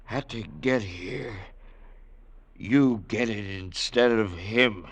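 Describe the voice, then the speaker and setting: An actor playing a shot, dying gangster in an old radio drama, gasping out feverish, cryptic, urgent words. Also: male voice, fairly low pitch, slow pace, slightly rough, heavily acted. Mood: delirious urgency. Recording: noisy remastered old radio recording.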